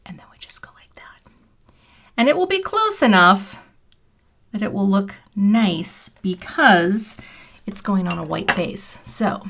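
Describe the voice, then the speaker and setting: A woman in her fifties talks casually while making a card on a livestream. She is chatty and self-deprecating, and she drops into quiet, half-whispered mutters as she works. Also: medium pitch, animated, unhurried, creaky. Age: 50s. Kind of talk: casual crafting livestream chat. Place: home craft room.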